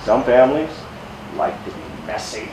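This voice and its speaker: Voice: medium pitch, very animated. A storyteller reading aloud to young children in a playful way, drawing out contrasts. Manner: slow, playful, exaggerated contrasts for young listeners. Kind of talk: animated children's read-aloud. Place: roomy public library story-time space. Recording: noisy echoey room, distant mic.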